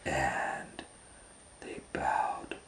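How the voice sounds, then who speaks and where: A man reading a picture book aloud to children in a theatrical way, swinging between hushed tones and loud exclamations. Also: very slow, whispered. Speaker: storytime reader and educator. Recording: roomy echo, faint background noise.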